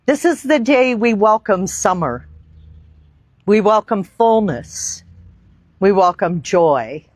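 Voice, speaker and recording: Woman yoga teacher, unhurried and reverent, dry close sound, guiding breath and meditation. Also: mid-range voice, animated, dry recording. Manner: slow, deliberate, reverent phrasing with long pauses. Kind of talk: guided meditation and yoga instruction. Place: outdoor park.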